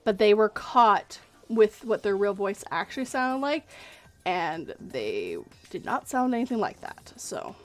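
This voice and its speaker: A woman's voice: medium pitch, sing-song, unhurried, faint music behind. A woman who narrates horror stories, chatting casually and speaking her mind with lots of restarts. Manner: candid, opinionated, lots of restarts. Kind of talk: casual livestream chat.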